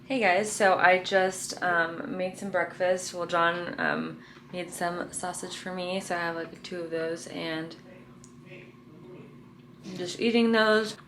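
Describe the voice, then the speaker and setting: Woman vlogger with a raspy morning voice. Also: lowish voice, fairly even intonation, takes it slowly, a bit bright, slight background noise. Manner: chatty, lots of "like" and "um" fillers. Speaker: lifestyle vlogger. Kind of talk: casual vlog chat to camera.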